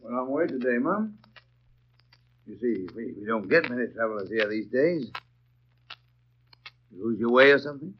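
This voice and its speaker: An actor playing a garrulous rustic English local, telling grim news in a halting, folksy way in 1930s radio drama style. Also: male voice, medium pitch, expressive, background noise, close to an everyday voice. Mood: folksy, curious. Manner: folksy, halting storytelling with repeated phrases. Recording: dull, lo-fi 1930s radio broadcast.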